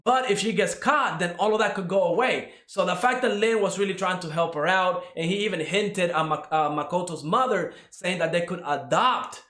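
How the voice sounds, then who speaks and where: A man's voice: sits high, normal speed, few pauses, bright, forceful tone, reverberant. A young man from Cuba giving a casual spoken anime review, rambling with many fillers and tag questions.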